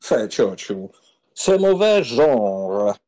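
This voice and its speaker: A man's voice: medium pitch, very animated, very slow, warm tone. A man playing a flippant, teasing young aristocratic count in an audiobook drama, mocking and amused. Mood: cocky, cajoling. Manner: mocking, amused, mock-solemn.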